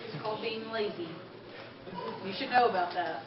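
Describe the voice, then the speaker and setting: A woman playing a haughty, bored female anime rival in deadpan, dismissive cosplay banter at a convention. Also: medium pitch, moderate pace, background noise, natural voice. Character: haughty, bored, cutting female rich card-duel rival. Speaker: cosplay co-presenter. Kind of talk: in-character panel banter. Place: indoor convention panel room. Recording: echoey room, distant muffled camera mic, crowd.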